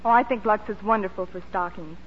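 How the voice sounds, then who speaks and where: A woman's voice: medium pitch, fast. A young woman, an actress guest, speaking in a scripted 1940s radio interview. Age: young adult. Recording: dull, reverberant, faintly noisy old radio recording.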